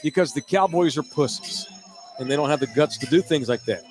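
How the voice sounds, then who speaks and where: A man's voice: on the high side, animated, brisk, soft background music. A man hosting a casual sports podcast, joking crudely and reading out viewer comments. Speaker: podcast host. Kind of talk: casual sports talk with a co-host.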